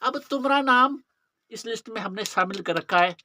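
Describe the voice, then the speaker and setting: Man acting cocky rustic police inspector, bright voice. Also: sits high, normal speed. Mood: mocking, menacing. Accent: eastern Hindi belt, rustic. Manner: mocking, drawling, rhetorical questions. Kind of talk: dramatic monologue acting.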